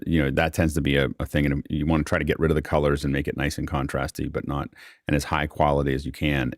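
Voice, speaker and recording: A man's voice: very deep voice, no room sound, clean studio sound. A man hosting a casual tech question-and-answer panel, explaining things informally. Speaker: host and moderator of a panel.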